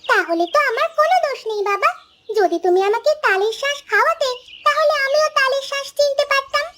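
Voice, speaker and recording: A woman's voice: extremely high pitch, fairly quick, very noisy. A woman voicing an insistent, pouty little girl who pleads in a cartoon. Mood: curious, pert. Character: whiny, insistent little girl missing her father. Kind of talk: acted dialogue in a moral-story cartoon.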